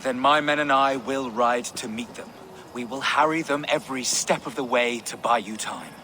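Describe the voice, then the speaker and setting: An actor playing a righteous, resolute older Saxon bishop in a video game cutscene. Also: male voice, medium pitch, normal speed, pushed and bright, slight background noise, close to an everyday voice.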